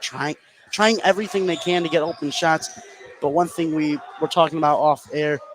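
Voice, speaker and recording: A man's voice: a little high, poor audio. A man giving live basketball analysis as a color commentator.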